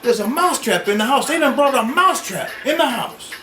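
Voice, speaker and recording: A man voicing a frantic little male mouse who pleads and repeats his warning in a high, rising voice. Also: expressive, moderate pace. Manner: urgent, pleading, repeating himself. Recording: roomy sound with music behind.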